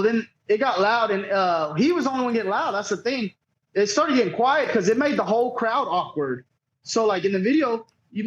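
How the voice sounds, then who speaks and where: High-pitched man, muffled lo-fi audio. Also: slight background noise. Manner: profane, rambling, quotes others. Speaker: podcast guest, cornhole player. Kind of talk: casual interview storytelling.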